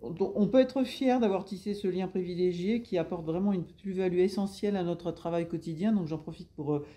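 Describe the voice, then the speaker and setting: A woman's voice: fairly low pitch, a little fast, dark tone. A woman explaining her public service work in interview answers, with formal administrative phrasing.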